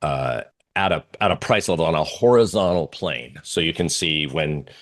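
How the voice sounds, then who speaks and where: A man giving informal live market commentary as a guest analyst, halting and searching for his words. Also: a bass voice, animated, fairly bright tone.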